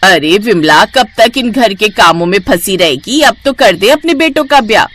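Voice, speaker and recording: A woman's voice: mid-range voice, expressive, moderate pace, fairly bright tone. A woman voicing a gossipy middle-aged female neighbour in a chatty, familiar manner. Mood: chatty, nudging.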